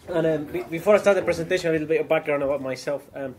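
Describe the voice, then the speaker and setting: A man's voice: on the high side, rapid-fire, a crowd in the background. A man from Chile with a Chilean accent, giving a keynote business presentation with frequent ums and restarts. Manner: hesitant, many ums and restarts. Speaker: keynote speaker.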